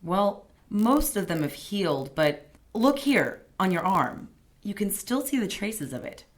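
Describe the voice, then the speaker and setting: A woman's voice: medium pitch, sing-song, measured pace. A woman playing a down-to-earth, sceptical ally of a detective, blunt and wry, in a radio drama.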